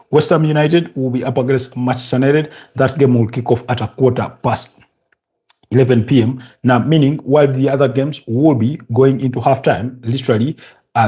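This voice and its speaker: A man from Uganda hosting a casual live football preview, talking haltingly with many fillers and restarts and chatting with his viewers. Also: medium pitch, warm tone, slightly rough. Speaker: football podcast host.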